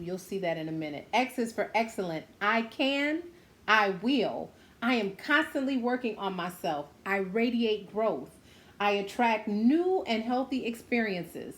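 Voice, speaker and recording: Female story-time host, mid-pitched, encouraging and direct with kids, some background noise. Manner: encouraging, speaking simply and directly to children. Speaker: children's story-time host reading a picture book aloud. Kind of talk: read-aloud with encouraging asides to children.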